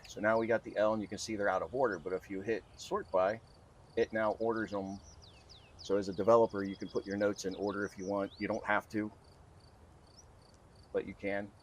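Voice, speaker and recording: A man presenting an unscripted software tutorial in an informal, halting, step-by-step way. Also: on the low side, flat delivery, a little hiss or hum. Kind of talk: unscripted screen-recorded walkthrough.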